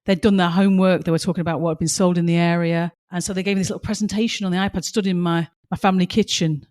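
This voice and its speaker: A woman with a slightly low voice talks emphatically as a podcast co-host. She tells her stories with real passion. Her voice sounds a little strained, as if it is starting to go. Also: fairly even intonation, rapid-fire, a little mellow. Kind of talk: casual podcast chat with stories.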